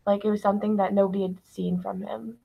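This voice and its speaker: A woman's voice: medium pitch, level tone, quick pace, creaky, slightly rough, dull audio. A teenage girl chatting casually as a podcast guest, peppering her talk with "like". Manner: uses "like" constantly. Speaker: student actor, podcast guest. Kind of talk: casual chat.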